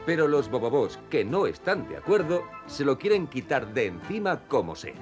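Animated male cartoon narrator. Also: mid-range voice, normal speed. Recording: music behind the voice.

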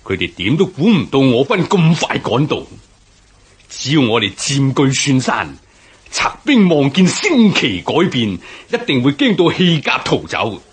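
Bold military commander, very husky, wildly swooping pitch, confident, declamatory, radio drama. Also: male voice, big pitch swings, measured pace, full character voice. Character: bold, confident male army officer giving orders. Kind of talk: Cantonese historical radio drama acting.

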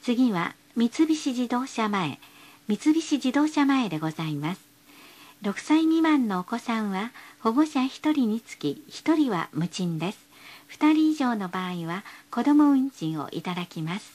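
High-pitched female bus announcer, lively intonation. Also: unhurried. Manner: polite, formal, carefully enunciated phrasing. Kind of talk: scripted recorded bus stop announcements.

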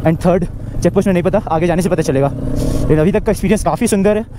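A young man from India narrating a casual, excited motorbike travel vlog outdoors, recorded on an action camera with engine and wind noise. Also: sits high, quick pace. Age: young adult. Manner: chatty, excited, mixes in English words. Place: outdoors on a mountain road, riding a motorbike. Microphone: action camera on the bike.

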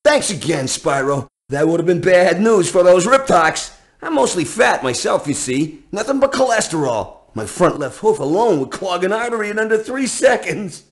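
A man voicing a chatty, excitable talking pig in a cartoon video game. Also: sits high, moderate pace, few pauses.

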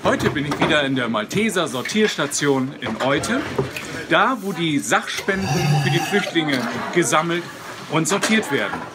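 A man giving a news report on location. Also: fairly high pitch. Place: donation sorting station. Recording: loud noise of a busy sorting hall.

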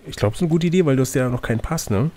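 A man's voice: medium pitch, expressive, rapid-fire, dark tone. A man reading a fantasy gamebook aloud as game master, with casual, teasing asides.

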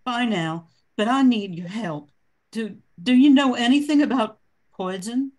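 A woman's voice: a little high, unhurried, darkish tone. An older woman playing an anxious, desperate widow in an amateur radio drama.

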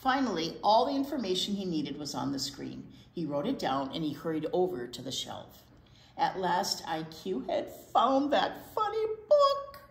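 Older female storytime reader for children: lower-pitched, expressive, recorded in a roomy space. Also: creaky. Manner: expressive, pausing for asides to young listeners. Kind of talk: reading a picture book aloud to children. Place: indoor library storytime set. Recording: roomy indoor sound.

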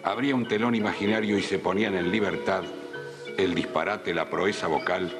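A man's voice: sits low, fairly even intonation, normal speed. A man with an Argentine accent reading scripted narration for a radio tribute as a presenter. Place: dark indoor studio. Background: music.

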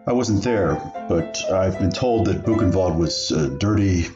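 A man playing an elderly refugee recounting his family's story in a radio play, deliberate and earnest. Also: deep voice. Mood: somber. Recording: roomy, dull sound, piano underneath.

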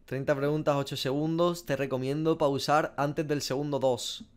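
A man's voice: a little high, fairly even intonation, moderate pace, clear, resonant tone, soft background music. Slangy young man from Spain, thinking aloud. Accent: Spanish from Spain. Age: 20s. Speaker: gaming streamer. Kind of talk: casual reaction commentary over a quiz. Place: small room at a desk, indoors.

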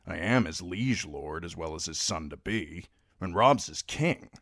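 A proud young lord, petulant and quick to feel slighted, as a man puts him on. His voice is low and quiet and moves around a lot in pitch. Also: lively intonation, no echo, clean studio sound, full character voice. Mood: aggrieved. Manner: petulant, aggrieved.

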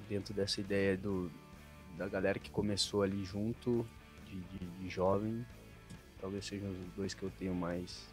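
A Brazilian man in his thirties talking over his career in a relaxed way, with lots of fillers, as a podcast guest. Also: sits low. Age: 30s. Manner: relaxed, thoughtful storytelling with many fillers. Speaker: interview guest, retired footballer. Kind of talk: casual podcast interview. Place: podcast studio with shirts on the wall. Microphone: desk mic on a stand.

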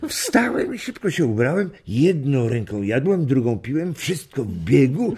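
Grandfather for kids' audio drama: old, warm storyteller, hugely expressive pitch, husky tone. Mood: storytelling. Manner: animated storytelling with chuckles and drawn-out words. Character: warm old grandfather reminiscing with his family. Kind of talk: children's radio play acting.

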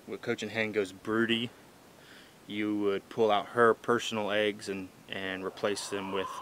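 Halting bearded man outdoors, bright voice. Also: fairly low pitch, slight background noise. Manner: halting, lots of fillers and restarts. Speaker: backyard chicken keeper. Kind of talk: casual unscripted vlog. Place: outdoors in a sunny pine-wooded farmyard. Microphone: camera mic at close range.

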